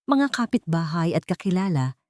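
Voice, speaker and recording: A woman's voice: on the low side, sing-song, moderate pace, darkish tone, no room sound. A woman reading a scripted, dramatic first-person story as an audio narrator.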